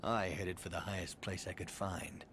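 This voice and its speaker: Man acting a low, brooding, bitter heir. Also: level tone, moderate pace, natural voice. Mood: ominous, musing. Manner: ominous, brooding storytelling with hushed phrase endings. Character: brooding, bitter heir of a sinister amusement-park tycoon. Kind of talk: video game cutscene monologue. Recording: faint background ambient noise. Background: faint ambience.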